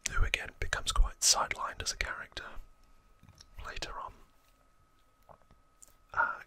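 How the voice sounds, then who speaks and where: An ASMR host whispering casual, informal trivia narration in a breathy, unvoiced voice. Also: sharp tone.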